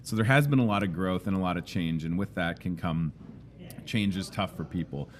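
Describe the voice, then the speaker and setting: A man hosting a local talk show, loud and enthusiastic, speaking in an emphatic, animated way. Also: sits low, brisk. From Midwestern United States. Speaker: host. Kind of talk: casual interview chat.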